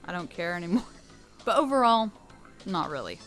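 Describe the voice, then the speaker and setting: A young American woman who hosts her channel, answering fan questions in a candid, chatty way with a low, rich voice. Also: very animated, moderate pace, a bit bright, faint music behind. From United States. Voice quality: low rich voice, sometimes taken for a boy. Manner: chatty and candid, with laughs and restarts. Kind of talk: casual Q&A monologue.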